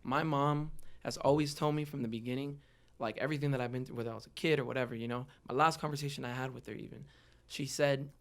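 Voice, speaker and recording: A man's voice: mid-range voice, fairly even intonation, fast talker. Young guy early 20s, podcast guest, talking casual and open, kinda reflective. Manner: earnest, reflective, frequent "you know". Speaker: guest, rapper.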